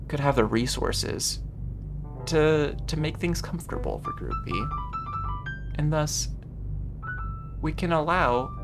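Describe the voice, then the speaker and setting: A gaming video host with a mid-pitched voice talks casually through a playthrough. The delivery is expressive, full of exclamations and reactions. Game music plays clearly behind the voice. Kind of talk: casual playthrough commentary, reading game text aloud. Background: game background music.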